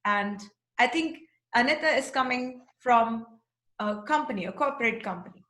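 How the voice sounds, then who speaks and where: A woman's voice: on the high side, moderate pace, pushed and bright. A woman hosting an interview on a video call, speaking in an encouraging, flowing way. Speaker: Ayurveda teacher, interview host. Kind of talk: casual interview chat. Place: home office, video call. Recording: roomy video call audio.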